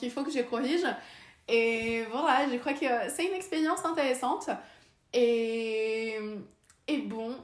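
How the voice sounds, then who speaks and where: A young woman with a Brazilian Portuguese accent, a native Portuguese speaker, talking casually to camera in French, hesitant, with many fillers and restarts. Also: high voice. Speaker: vlogger. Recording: echoey room.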